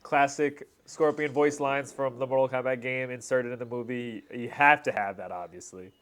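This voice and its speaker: A man's voice: medium pitch, steady tone, moderate pace, a bit bright. A man in his thirties giving casual reaction commentary as a video host, talking like an enthusiastic fan with slangy asides.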